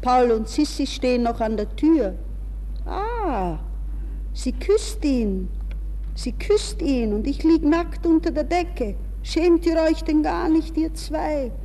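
An actress playing a distraught young woman in a hushed, dreamy, intense inner monologue in a 1960s radio play. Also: high-pitched, expressive, slow pace, full character voice. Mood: bitter, agitated. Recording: reverberant 1960s radio recording with hiss.

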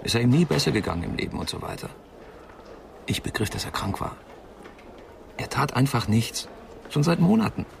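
An actor playing a troubled young man giving a halting, confessional account in a German radio crime drama, with a creaky voice. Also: mid-range voice, very animated. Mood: exasperated. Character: distressed young man, witness worried about his sick friend. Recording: faint background noise and ambience.

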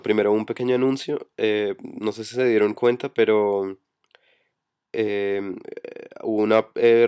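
Man, hoarse from a cold, low monotone voice, hesitant, headset mic. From Colombia. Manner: hesitant, many fillers. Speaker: meeting coordinator. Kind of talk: online voice chat meeting. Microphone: computer headset for voice chat.

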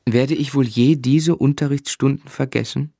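A male actor narrating a radio play as a grown man looking back on his boyhood, in a measured, reflective storytelling manner. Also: medium pitch, steady tone, vocal fry, dark tone, dry recording, clean studio sound.

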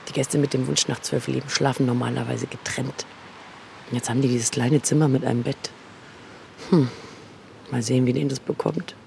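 A woman's voice: extremely low pitch, fairly even intonation, fairly quick, gravelly, long pauses, darkish tone. A woman in the role of a hotel chambermaid, narrating and chatting casually on location. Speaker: hotel chambermaid guiding a project. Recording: faint background noise.

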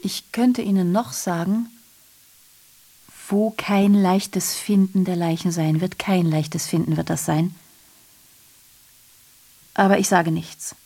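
An actress playing a sardonic messenger and broadcaster of grim past events in a radio drama, mocking and theatrical, sometimes confiding. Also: female voice, on the low side, steady tone, takes it slowly, long pauses, dark tone. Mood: sinister, confiding.

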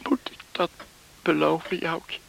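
A man playing a stubborn, embittered farmer father in a Dutch radio play, gruff, terse and halting. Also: on the high side, fairly bright tone, a little hiss or hum, exaggerated voice, rough recording. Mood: anxious, halting.

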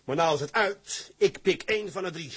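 Blustering, husky tugboat skipper. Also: male voice, sits high, moderate pace. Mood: indignant. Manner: blustering, cursing, emphatic. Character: hot-headed, stubborn Dutch tugboat skipper, proud and impatient. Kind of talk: radio drama acting.

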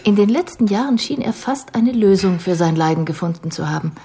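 An actress playing a composed female care professional in a radio drama, explaining a patient's case in a measured, analytical way. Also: mid-range voice, animated, dark tone, natural voice. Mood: calm, reflective.

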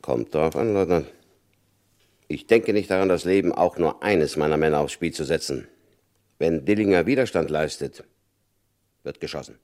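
An actor playing a stern middle-aged FBI agent who gives clipped, commanding orders in an old radio crime play. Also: male voice, very deep voice, normal speed, pauses often, darkish tone. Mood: grim, determined.

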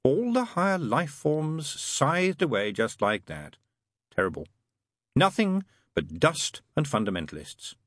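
Storybook angel, played by a man: fussy, proper, earnest, precise, with swooping melodic delivery and a breathy edge. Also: medium pitch, big pitch swings, moderate pace, no room sound, clean studio sound, voice pushed up. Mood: gloomy.

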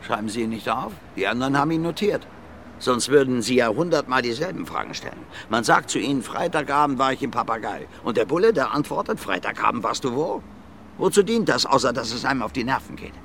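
An actor playing a gruff, blunt violent brawler in his fifties under questioning in a radio drama, plain-spoken and repetitive. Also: medium pitch, sing-song, fast, fairly bright tone, slight background noise. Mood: blunt, matter-of-fact. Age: 50s. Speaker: suspect being questioned.

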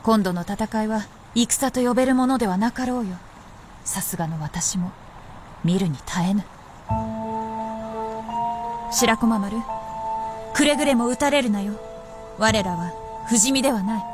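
A woman's voice: a little high, a little slow, long pauses, music in the background, close to an everyday voice. A woman playing an aloof, proud immortal princess in a Japanese period radio drama, with archaic, composed, lordly speech. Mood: detached.

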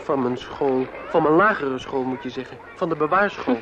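A man's voice: medium pitch, moderate pace, lightly acted. A young actor playing an earnest, touchy teenage boy in a radio play, polite and sincere in his speech. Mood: teasing, lovestruck. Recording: dull, noisy radio play recording.

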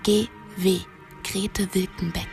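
A determined young woman telling secrets in a naturalistic radio play. Her voice sits at an ordinary female pitch, with faint music underneath. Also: level tone, takes it slowly, creaky. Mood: hushed, intriguing. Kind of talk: naturalistic radio drama dialogue.

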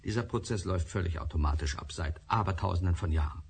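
A man's voice: sits low, fast talker, creaky, breathy edge, full character voice. An actor playing a formal, emphatic male professor in a radio drama. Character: male professor, discoverer of the thought space.

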